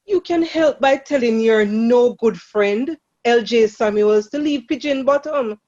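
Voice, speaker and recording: A woman's voice: a little high, a little slow, warm tone, lo-fi sound, natural voice. A woman playing a prim, self-righteous village churchwoman in a radio drama. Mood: indignant.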